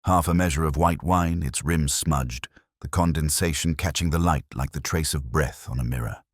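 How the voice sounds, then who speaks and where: A man playing a brooding, erudite middle-aged detective, narrating an audio drama in measured, reflective, literary phrases. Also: extremely low pitch, slightly rough, no room sound, studio quality. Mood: brooding, wistful. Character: brooding, erudite male English police detective, melancholy, observant.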